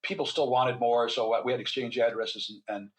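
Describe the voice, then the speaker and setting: A man's voice: mid-range voice, steady tone, fairly quick. An older man speaking as an interview guest, telling anecdotes in a rambling, conversational way. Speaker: guest author and physician.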